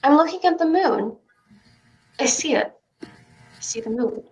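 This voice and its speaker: A woman's voice: high-pitched, lively intonation, unhurried, darkish tone, rough recording. A woman playing a stubborn, dreamy child who plays astronaut, in a staged play reading. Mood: dreamy, musing.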